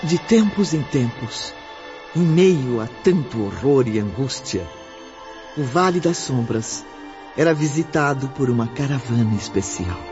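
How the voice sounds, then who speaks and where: Slow, solemn radio drama narrator. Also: male voice, medium pitch, expressive. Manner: solemn, emphatic delivery. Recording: muffled audio with music behind.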